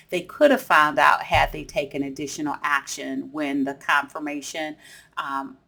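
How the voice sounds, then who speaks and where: A woman's voice: low voice, a bit bright. A woman hosting an instructional explainer in a conversational, explanatory manner. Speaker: host of a tips series. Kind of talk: instructional explainer for business teams.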